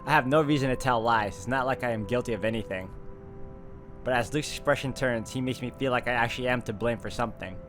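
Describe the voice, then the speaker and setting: A man hosting a gameplay video, reading visual novel narration aloud as an expressive storyteller and adding asides. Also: medium pitch, fast. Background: music.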